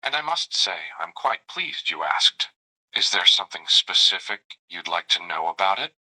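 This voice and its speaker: A man's voice: sits low, big pitch swings, normal speed, pushed and bright, exaggerated voice, rough recording. A synthetic male voice playing a doting, flirty AI companion who narrates his own gestures and calls the listener darling. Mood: tender. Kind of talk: chatbot roleplay reply.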